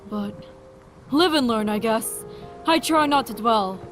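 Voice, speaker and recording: Woman as wry motherly survivor, higher voice. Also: unhurried, music in the background, close to an everyday voice. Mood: wistful, resigned. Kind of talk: dramatic voice acting in audio drama.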